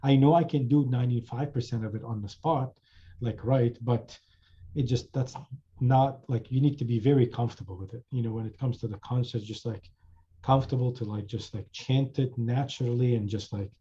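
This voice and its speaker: A man's voice: mid-range voice, fairly even intonation, fairly quick, warm tone, muffled recording, slight background noise. A man who teaches chant, talking casually in an online class, rambling with many fillers and restarts. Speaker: chanter and instructor.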